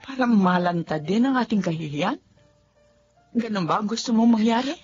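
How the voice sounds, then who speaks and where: A woman's voice: mid-range voice, lively intonation, normal speed, a little mellow, husky, faint music behind. A woman playing a mother hiding a secret love affair, defensive and guarded, also narrating in first person in a slightly muffled radio drama.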